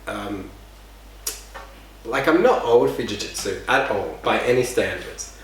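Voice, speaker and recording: A man's voice: on the low side, expressive, a little slow. A young man hosting a casual podcast, easygoing and joking. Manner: easygoing, joking, laughs often. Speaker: podcast host. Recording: echoey room, distant mic, faint noise.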